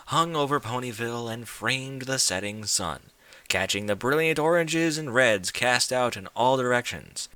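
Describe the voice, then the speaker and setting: A man giving an expressive scripted narration of a story, as in an audiobook. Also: mid-range voice, no room sound.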